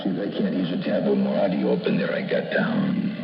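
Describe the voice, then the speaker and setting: A man making casual CB radio chat in a folksy, halting way, full of operator slang and sign-offs. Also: a bass voice, distant mic, highs cut off. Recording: roomy, band-limited CB radio audio, loud music.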